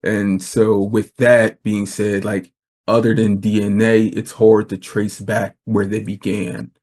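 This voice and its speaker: A middle-aged man hosting a podcast, speaking in a measured, unhurried way. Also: lowish voice, little pitch movement, a little mellow. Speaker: podcast host. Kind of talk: casual conversation.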